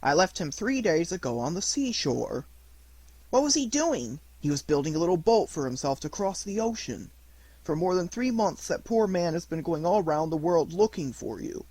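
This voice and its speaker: A woman voicing a large, kindly male pigeon in a storybook, speaking calmly. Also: on the low side, moderate pace, breathy edge, dry recording, pitched above the performer's own voice.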